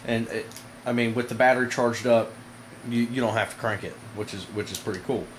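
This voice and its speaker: A man giving a casual hands-on product demonstration to viewers, informal and halting, with frequent pauses. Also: mid-range voice, fairly even intonation. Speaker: product reviewer, video host. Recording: noisy amateur camera audio.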